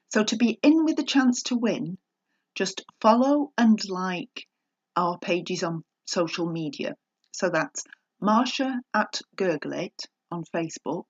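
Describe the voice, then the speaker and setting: A woman's voice: lowish voice, lo-fi sound. A middle-aged woman hosting a podcast, speaking in a chatty presenting style. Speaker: podcast host.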